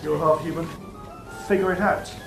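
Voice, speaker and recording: A woman's voice: lowish voice, steady tone, normal speed, vocal fry. Woman as a sly serpent, distant and echoing. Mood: measured, enigmatic. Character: sly, wily old serpent from Eden. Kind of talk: stage-style audio drama acting. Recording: roomy, distant, music underneath.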